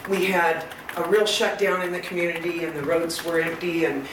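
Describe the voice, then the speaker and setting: A woman with a loud voice giving a public comment at a meeting, earnest, detailed and persistent. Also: fairly low pitch, steady tone, normal speed. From Northern California. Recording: echoey hall, far from mic, noisy.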